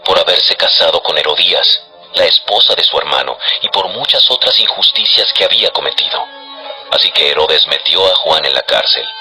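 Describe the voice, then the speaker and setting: A man narrating scripture as a scripted dramatization, in measured, declarative storytelling. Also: sits low, pushed and bright, low-quality recording.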